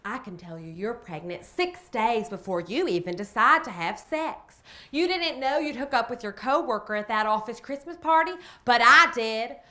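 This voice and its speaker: Woman acting a dramatic, high-voiced boastful psychic. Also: animated, bright, forceful tone. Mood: boastful, mystical. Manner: dramatic, emphatic, drawn-out catchphrases. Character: boastful, eccentric female storefront psychic pitching her powers. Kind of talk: character comedy monologue, mock sales pitch.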